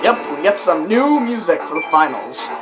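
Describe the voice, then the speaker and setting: A young adult talks through a video game in an easygoing, rambling way, full of fillers. The pitch jumps up and down a lot as he reacts. The game's soundtrack plays clearly underneath. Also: very animated, normal speed. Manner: rambling and chatty, with many fillers. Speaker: let's play commentator. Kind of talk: casual video game commentary. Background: game soundtrack music.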